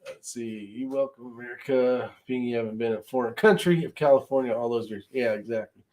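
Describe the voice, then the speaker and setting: A man's voice: mid-range voice, fast, darkish tone. A man hosting a casual live-stream chat, talking in an informal, loose and rambling way. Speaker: host of a shooting live chat show.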